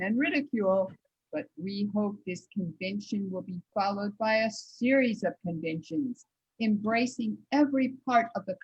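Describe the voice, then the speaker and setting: A woman playing a resolute nineteenth-century suffragist, speaking in measured, husky stage oratory. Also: medium pitch, dull audio. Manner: measured, deliberate. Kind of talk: reader's theater oratory.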